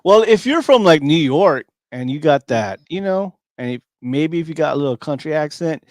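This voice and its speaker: A man's voice: fairly high pitch, lively intonation, moderate pace, dry recording, studio quality. A man chatting casually in a group as a podcast co-host, using slang and repeating phrases for emphasis. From grew up on military communities in Asia and California.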